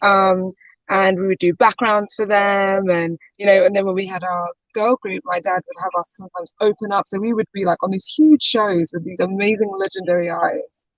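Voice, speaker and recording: A woman's voice: medium pitch, steady tone, normal speed, dry recording. Chatty woman singer on a lo-fi call. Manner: chatty, laughs easily, many fillers. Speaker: singer-songwriter guest. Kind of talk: casual interview chat.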